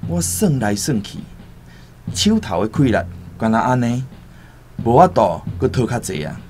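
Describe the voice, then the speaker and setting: A man's voice: mid-range voice, very animated, moderate pace, darkish tone. Defiant vengeful young taiwanese man, radio drama, music under him. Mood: grim, demanding.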